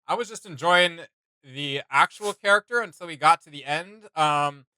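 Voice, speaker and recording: A man's voice: on the high side, moderate pace, pushed and bright, clear, resonant tone, no echo, poor audio. A man hosting a casual reaction video, chatting in an enthusiastic, rambling and slangy way.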